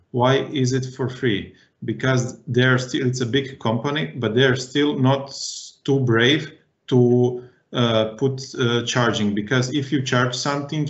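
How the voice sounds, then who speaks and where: A man leading a workshop discussion, explaining steadily through a headset. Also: medium pitch. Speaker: workshop presenter. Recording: echoey room, dull call audio.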